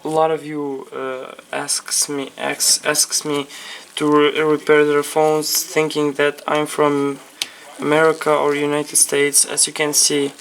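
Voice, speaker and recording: Low, flat-voiced Ukrainian-accented woman. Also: a little hiss or hum. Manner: halting, repetitive phrasing in non-native English. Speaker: phone repair technician presenting a tutorial. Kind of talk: unscripted hands-on repair tutorial.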